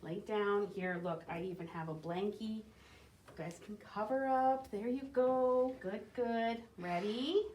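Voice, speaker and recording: A woman's voice: mid-range voice, slow, darkish tone. A woman coaxing in short simple phrases as a caretaker in a children's puppet show, in a roomy space with echo. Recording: roomy echo, faint noise.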